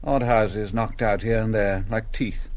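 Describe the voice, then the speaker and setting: An actor playing a reflective wartime Londoner, understated and sombre in stage drama dialogue. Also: male voice, lowish voice, steady tone, a little slow, a little mellow. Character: sombre wartime Londoner describing bomb damage.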